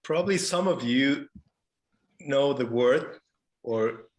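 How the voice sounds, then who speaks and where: A man with a Mexican Spanish accent presents informally to an audience. His pitch is average and his pace is slow, with halting stretches as he looks for the next word. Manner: halting, searching for words. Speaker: panelist, conservation photographer.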